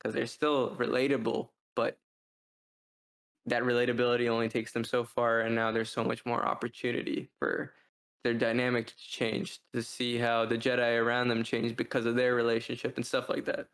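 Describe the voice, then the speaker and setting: Guy podcast cohost, kinda flat delivery, rambly casual discussion, throws out questions. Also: mid-range voice, moderate pace, creaky. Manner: discursive, poses rhetorical questions. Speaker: podcast co-host reviewing books.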